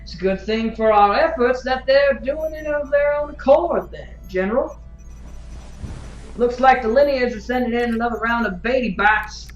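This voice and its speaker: A woman's voice: on the high side, moderate pace, faint music behind, natural voice. Woman acting a flippant, needling cynic, muffled and roomy. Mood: nonchalant, nosy. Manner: flippant, teasing, prodding. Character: bored, needling, cynical hired war commentator. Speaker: actor in an audio drama. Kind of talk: audio drama acting.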